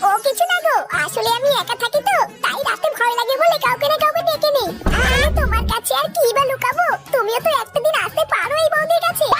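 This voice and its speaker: A suggestive, coaxing village sister-in-law from a comedy cartoon, acted by a woman. Her voice is extremely high and quick, playing coy and flirtatious over loud music. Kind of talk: comic cartoon dialogue.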